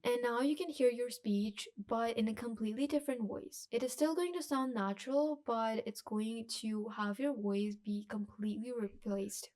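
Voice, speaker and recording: A woman with a fairly high voice presents a software tutorial. Her delivery is scripted voice-over narration. She explains things step by step, leaning on key phrases for emphasis. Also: normal speed, hardly any pauses.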